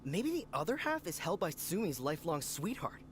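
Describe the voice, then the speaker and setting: A man's voice: on the high side, big pitch swings, fairly quick, music in the background. A man playing a flighty, lovestruck young man in a video game, chatty and theatrical. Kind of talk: video game dialogue acting.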